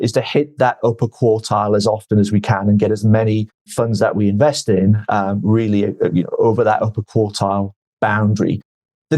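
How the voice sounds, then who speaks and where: Measured man explaining investing. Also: on the low side, darkish tone. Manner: measured, explanatory, repeats words when starting sentences. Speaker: venture investor guest. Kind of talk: podcast interview answers.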